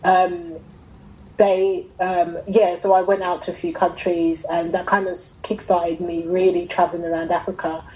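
A middle-aged woman telling her own story in an interview, talking conversationally in a reflective, halting way and often rephrasing. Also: lowish voice, little pitch movement, darkish tone, rough recording. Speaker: interviewee, fashion entrepreneur.

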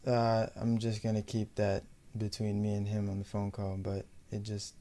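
Low, mellow young American man, even delivery. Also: normal speed. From United States. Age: young adult. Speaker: professional footballer being interviewed. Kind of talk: casual sports interview.

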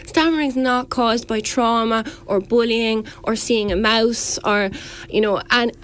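A woman's voice: fairly high pitch, steady tone, measured pace, a bit bright. A woman speech therapist explaining as a radio guest, earnest and full of restarts and fillers.

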